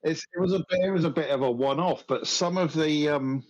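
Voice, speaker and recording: A man's voice: fairly high pitch, brisk, muffled recording. A man co-hosting a gaming podcast, talking casually and hesitantly, often restarting his sentences. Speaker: podcast co-host.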